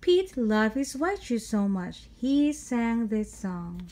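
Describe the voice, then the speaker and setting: A woman reading a children's picture book aloud in a deliberate way, putting exclaimed questions and answers to young listeners. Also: a little high, sing-song, mellow. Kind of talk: read-aloud children's story narration.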